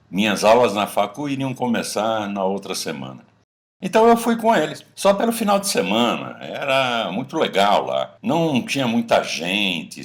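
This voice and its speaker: A man acts out a middle-aged Brazilian storyteller among old drinking friends. His voice sits on the low side and swings widely in pitch. He talks colloquially, as in a radio drama about confessions. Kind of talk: radio drama acting, casual bar chat.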